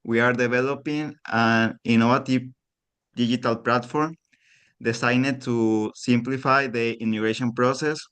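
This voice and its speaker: A man from Mexico with a Mexican Spanish accent, giving an earnest prepared pitch over a remote call while unwell. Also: clean tone. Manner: steady, earnest delivery, pausing to ask for the next slide. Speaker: pitch contestant.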